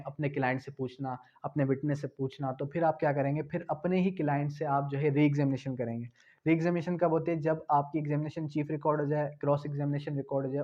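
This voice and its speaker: Male law tutor, higher voice, drills points through questions; muffled low-fi recording. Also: warm tone. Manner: explains with rhetorical questions and repetition. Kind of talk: exam-prep law lecture. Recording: dull lo-fi recording.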